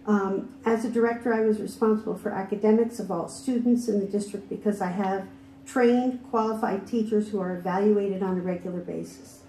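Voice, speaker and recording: A woman's voice: medium pitch, fairly even intonation, a little fast, dark tone. A woman, a former school district director, reading prepared public testimony firmly and asking pointed questions. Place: hearing room. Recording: echoey room with faint background noise.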